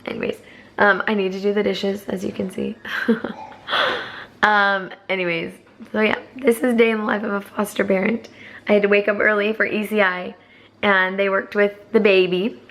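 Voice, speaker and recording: A hoarse young woman chatting to camera as a foster parent, rambling with many fillers. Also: medium pitch, little pitch movement, moderate pace, fairly bright tone, slight background noise. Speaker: vlogger. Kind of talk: casual chat to camera.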